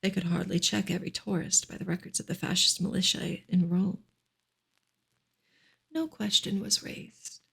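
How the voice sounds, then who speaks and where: A woman reading a novel aloud in a soft, breathy ASMR style, partly whispered, in a gentle, hushed and unhurried way. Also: on the low side, level tone. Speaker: ASMR reader and streamer.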